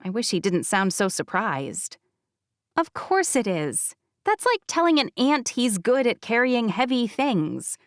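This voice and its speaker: A woman narrating a first-person novel as an audiobook, with expressive, wry delivery. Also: on the high side, measured pace, no room sound, clean studio sound.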